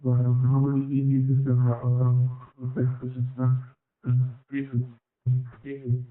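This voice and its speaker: A man's voice: mid-range voice, completely flat delivery, moderate pace. A man talking briefly over a voice link. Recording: thin phone-like radio audio.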